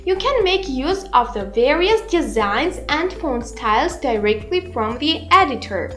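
High-pitched young woman presenting, slow pace. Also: very animated, a bit bright. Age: 20s. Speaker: tutorial presenter. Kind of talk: scripted narration, software tutorial. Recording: roomy echo, music behind. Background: background music.

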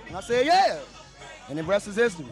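A man with a raspy voice, interviewed at his own party in a dark club, talking in laid-back street slang. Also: sits high, big pitch swings, fast talker, some chatter behind. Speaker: rapper being interviewed. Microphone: handheld mic held by interviewer. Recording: music playing behind.

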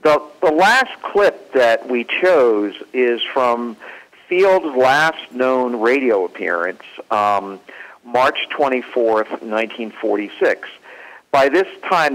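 A man's voice: mid-range voice, a bit bright, low-quality recording. A man giving informal explanatory commentary as a presenter. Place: hotel meeting room.

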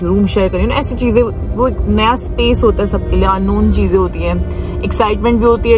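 A woman's voice: medium pitch, low-quality recording. A woman in her thirties talking casually to camera in a vlog, rambling and dropping in English phrases, filmed from a dashboard camera inside a car. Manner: chatty, mixes in English phrases, rambling asides. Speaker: vlogger. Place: inside a car, then at home and a salon.

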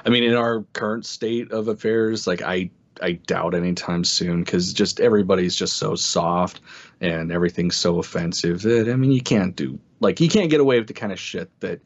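A man's voice: low-pitched, normal speed. An American man chatting casually as a guest, blunt and slangy, swearing freely, on slightly muffled audio. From Ogden, Utah, United States. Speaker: guest co-reader. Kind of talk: casual chat.

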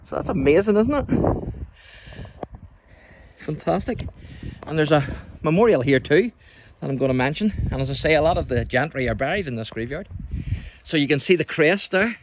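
A man's voice: a little high, lively intonation, normal speed, pauses often. Northern Irish man giving an informal outdoor tour. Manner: chatty, addresses the viewer with tag questions. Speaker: local history guide and presenter. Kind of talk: informal walking tour commentary. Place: outdoors in a churchyard by the sea. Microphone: handheld phone. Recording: outdoor phone recording with background noise.

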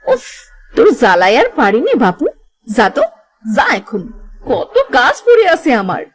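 Woman playing a high-pitched nagging village mother. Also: wildly swooping pitch, normal speed. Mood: exasperated. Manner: nagging, sarcastic. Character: scolding, exasperated village mother. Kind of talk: cartoon voice acting.

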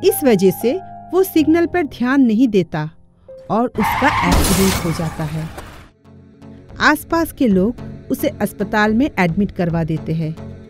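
A woman's voice: medium pitch, big pitch swings, a little slow, over music. A woman giving scripted narration for a cartoon moral story.

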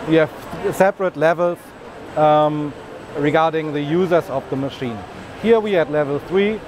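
A man in his thirties giving a walking product demonstration as a business development manager, explaining and pointing as he goes. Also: on the high side. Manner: explanatory, walks and points while talking. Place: large busy trade fair hall. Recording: noisy trade fair hall.

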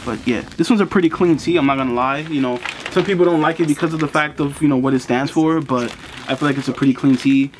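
A young man in a casual unboxing vlog, talking in a relaxed, chatty way with lots of fillers, seated in a small room in front of the camera. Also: on the high side, quick pace, noisy background. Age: young adult. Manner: laid-back, chatty, lots of "you know" fillers. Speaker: sneaker and streetwear vlogger. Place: bedroom with sneaker boxes, indoors.

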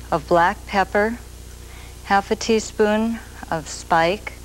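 A woman in her forties hosting a TV cooking show, explaining a recipe in a slow, gentle, soft-spoken way from a studio kitchen set. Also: medium pitch, low-quality recording. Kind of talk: TV cooking demonstration. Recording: grainy 1980s videotape with noise.

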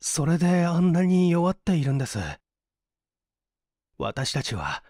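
A man's voice: on the high side, animated, measured pace, a little mellow, no room sound, clean studio sound, voice pushed up. A man playing an earnest, penniless young man in a story reading, humble and apologetic, pleading with effort. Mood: weary pleading.

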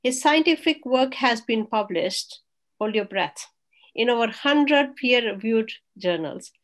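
A woman's voice: fairly high pitch, animated, unhurried, fairly bright tone. A middle-aged woman moderator on a video call, emphatically reading a speaker's credentials as she introduces him. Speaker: moderator, professor. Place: room at home on a video call.

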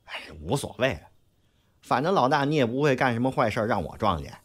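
An actor playing an informal, loyal young underling in an audio drama, slangy and hesitant. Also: male voice, medium pitch, very animated, brisk, a little hiss or hum, natural voice. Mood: casual, awkward. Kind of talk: Chinese audio drama acting.

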